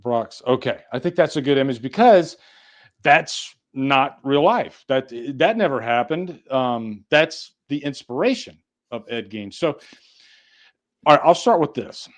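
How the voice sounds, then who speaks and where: A man's voice: mid-range voice, animated, unhurried. Rambling casual male podcast host. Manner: rambling, conversational, swears casually.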